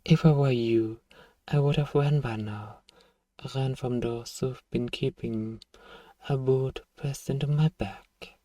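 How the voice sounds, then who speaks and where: Guy doing a suave menacing old vampire for asmr roleplay, really slow n mellow, whispery taunting, bit far off the mic. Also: mid-range voice, fairly even intonation, no room sound, close to an everyday voice. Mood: coaxing, falsely sympathetic. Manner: slow, hushed, taunting, condescending, lapses into whispers. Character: powerful elder male vampire, suave, menacing, manipulative seducer.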